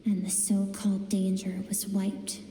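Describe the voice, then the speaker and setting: An actress voicing the gentle, suppressed inner self of a swordswoman in a video game, speaking softly and sorrowfully. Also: medium pitch, completely flat delivery, a little slow, a little hiss or hum, close to an everyday voice. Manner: soft, sorrowful, pleading. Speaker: game character. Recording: roomy reverb under game music.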